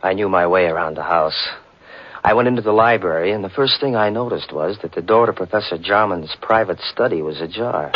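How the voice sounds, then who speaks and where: A deep-voiced suspense narrator. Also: male voice. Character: nervous young male chemistry teacher narrator. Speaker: narrator and lead in a radio drama. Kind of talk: 1940s radio acting. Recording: muffled old radio recording with music.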